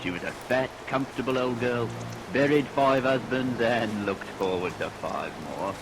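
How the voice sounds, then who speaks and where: An actor playing a folksy narrator, telling a grisly tale with wry colloquial relish in a vintage radio play. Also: male voice, mid-range voice, expressive, moderate pace, close to an everyday voice. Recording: noisy vintage radio recording.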